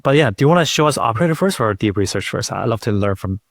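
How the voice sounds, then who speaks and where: Low-pitched man hosting a podcast. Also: lively intonation, quick pace, dry recording, studio quality. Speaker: podcast host. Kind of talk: casual interview talk and scripted sponsor read.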